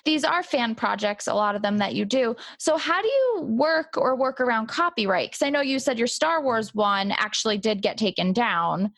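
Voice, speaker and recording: A woman's voice: fairly high pitch, lively intonation, normal speed, hardly any pauses, a bit bright, dry recording. Woman interview host, very dry close sound. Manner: encouraging, affirming. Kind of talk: casual interview chat.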